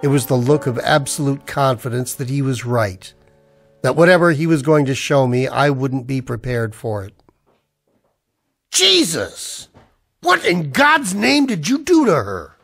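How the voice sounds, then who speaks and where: A man's voice: mid-range voice, big pitch swings, a little slow, a bit bright, soft background music, heavily acted. An actor playing a weary, cynical man in a scripted radio-style noir drama. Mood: tense, commanding. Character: weary, cynical, down-on-his-luck man narrating a noir tale. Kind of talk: scripted noir narration in the manner of old radio plays.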